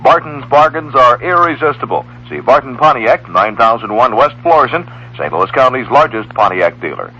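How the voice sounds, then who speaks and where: American man, 1960s radio ad read, very varied pitch, bright forceful tone, dull recording. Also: very animated, normal speed, few pauses, husky. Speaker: local radio station announcer. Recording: muffled vintage radio recording.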